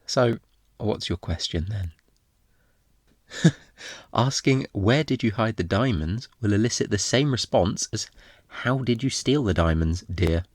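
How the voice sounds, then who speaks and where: Man playing a cocky flirtatious thief, low-voiced. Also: expressive, slow pace, long pauses, husky, no echo, studio quality, exaggerated voice. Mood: flirty, mock-hurt. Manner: teasing, theatrical, sarcastic, swooping into mock quotes. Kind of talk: ASMR roleplay acting.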